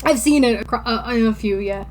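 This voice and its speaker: Young woman podcast host, high voice, giggly n informal, bit of background hum. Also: brisk. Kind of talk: casual banter and mock-dramatic reading of fan fiction.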